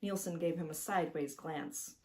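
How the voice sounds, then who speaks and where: A woman author reading her own picture book aloud with animated expression and chatty asides to the listener. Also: deep voice, normal speed. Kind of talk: expressive children's storybook narration.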